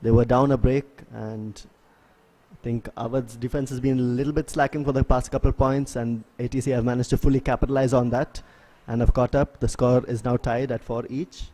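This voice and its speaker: A man giving live play-by-play sports commentary in a measured, analytical way. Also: medium pitch, level tone, mellow, poor audio. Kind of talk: live play-by-play commentary of an ultimate frisbee match.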